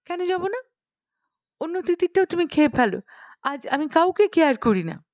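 A woman's voice: very high voice, very animated, normal speed, a little mellow, no echo, highs cut off, voice pushed up. A woman voicing a naive, timid, tender-hearted young woman cook in an audiobook story, anxious and earnest. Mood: eager, defiant.

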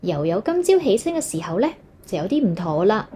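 A woman's voice: medium pitch, big pitch swings, fairly quick, warm tone, slight background noise. A woman with a Hong Kong Cantonese accent reading a children's story aloud expressively, as if to young listeners.

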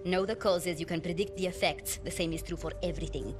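A woman's voice: lowish voice, fairly even intonation, quick pace, close to an everyday voice. An actress playing a brilliant, bitter woman scientist in a video game, lecturing in a condescending, clipped way. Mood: cold, grim. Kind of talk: dramatic video game acting. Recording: music behind the voice.

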